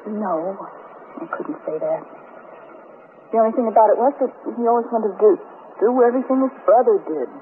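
A woman's voice: mid-range voice, lively intonation, brisk, long pauses, close to an everyday voice. An actress playing a weary young woman separated from her husband, in a plaintive, complaining manner, in a 1950s radio drama. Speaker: witness. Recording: tinny lo-fi old radio broadcast with hiss.

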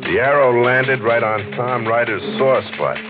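A man's voice: medium pitch, measured pace, over music, close to an everyday voice. A man with an American accent playing a wry, stubborn newspaper reporter who narrates in slangy 1940s radio noir style. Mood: brooding narration. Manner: slangy, sardonic. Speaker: lead actor and narrator. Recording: tinny, noisy old radio broadcast.

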